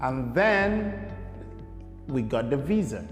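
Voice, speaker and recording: A man's voice: mid-range voice, sing-song, takes it slowly, faint music behind. A young Nigerian man, a guest in an interview, talking casually and rambling with many fillers. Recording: echoey room with long reverb.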